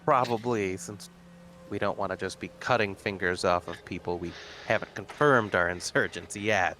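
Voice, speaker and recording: Lower-voiced man playing a tabletop game. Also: moderate pace, pushed and bright, slight background noise. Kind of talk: casual in-game table chat.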